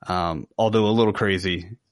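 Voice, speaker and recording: Low-voiced man, close dry sound. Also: steady tone, normal speed, dry recording. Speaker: podcast co-host. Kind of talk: casual film review chat.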